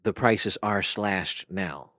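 A man making a prank phone call with deliberate, creepy insinuation. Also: low voice, pushed and bright, no echo. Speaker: prank caller. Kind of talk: prank phone call in character as a film slasher.

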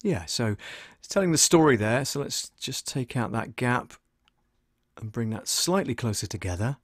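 A man's voice: medium pitch, expressive, normal speed, no echo, studio quality. A middle-aged British man hosting a casual screen-share tutorial, hesitant as he thinks aloud while working. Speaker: voice-over artist and audio producer hosting a livestream.

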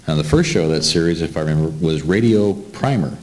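A middle-aged man with a deep voice questions a guest on a panel. He talks conversationally and knows the subject well. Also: animated, quick pace, darkish tone, poor audio. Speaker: panel interviewer. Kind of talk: informed interview questioning. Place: indoor room, seated at panel table.

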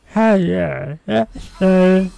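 A streamer giving casual, slangy gameplay commentary in an unhurried way. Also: mid-range voice, vocal fry, dark tone, dry recording, soft background music.

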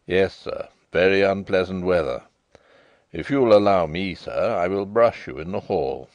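A man's voice: low voice, measured pace, no echo, dull audio, voice pushed down. A man voicing a deferential, precise manservant giving a formal report. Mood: respectful.

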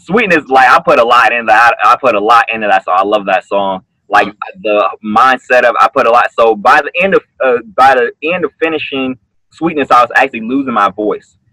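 A man, a singer, talking casually on a video call from a room at home, explaining earnestly with many halting restarts. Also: medium pitch, sharp tone, dry recording, rough recording. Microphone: webcam on a video call.

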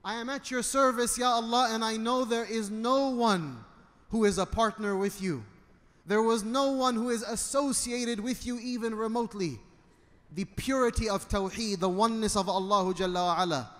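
A man in his thirties preaching a sermon as an imam, phrasing deliberately and emphatically in short bursts. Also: high-pitched, fairly bright tone. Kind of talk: sermon with Arabic recitation. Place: indoor prayer hall at a pulpit. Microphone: microphone on a stand. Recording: long hall reverb.

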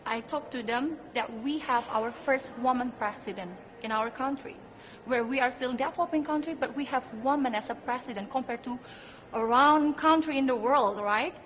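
A young woman with an Indonesian accent speaks in a fairly high voice, answering interview questions earnestly and emphatically. Her English has non-native phrasing. There is clear noise around her. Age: 20s. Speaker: beauty pageant contestant. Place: indoor press backdrop at a pageant event. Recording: clearly noisy room ambience.

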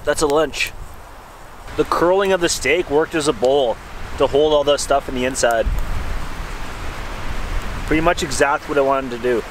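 A man's voice: mid-range voice, normal speed, long pauses, low-quality recording. A young man bantering to camera while cooking over a campfire in snowy woods, recorded outdoors. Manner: joking, laid-back banter to camera. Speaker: outdoor vlogger. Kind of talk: casual camp cooking vlog. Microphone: camera a few feet away. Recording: outdoor recording with clear background noise.

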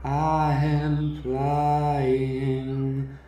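A man singing a calm, slow ballad in a sustained, unhurried way. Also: medium pitch, slight background noise. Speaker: channel host. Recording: very echoey, distant from the mic.

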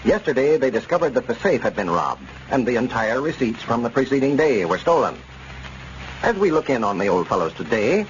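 A man with an American accent announcing and narrating in the scripted style of vintage radio. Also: medium pitch. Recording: muffled, noisy old radio broadcast.

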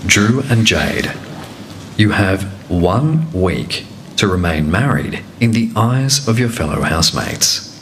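Deep, slow male announcer with a commanding, measured read. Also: big pitch swings, a bit bright, a little hiss or hum. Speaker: reality show voice of Big Brother. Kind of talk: scripted announcements.

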